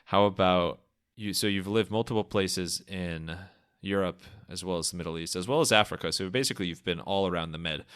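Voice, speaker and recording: A man's voice: low-pitched, a little fast, few pauses, a bit bright, clean tone. A young man hosting a podcast interview, asking questions in an upbeat, jokey way and laughing easily. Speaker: podcast host.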